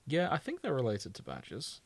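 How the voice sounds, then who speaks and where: A man's voice: medium pitch, huge pitch swings, fairly quick, creaky, husky, dry recording. Man in dry-sounding, jokey podcast chat. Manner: jokey, wry. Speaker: podcast co-host. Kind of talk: casual chat.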